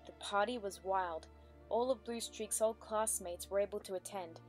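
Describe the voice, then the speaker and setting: A woman's voice: mid-range voice, normal speed, soft background music. A woman reading scripted story narration as the narrator of a dramatic reading.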